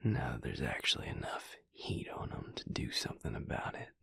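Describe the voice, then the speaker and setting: A man playing a protective, brooding vampire lover in an intimate audio roleplay, with a husky, breathy voice and a hushed, intense, deliberate delivery. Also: wildly swooping pitch, whispered, heavily acted. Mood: earnest, frustrated. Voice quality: husky, breathy, gravelly low voice. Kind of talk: intimate audio roleplay acting, speaking to the listener.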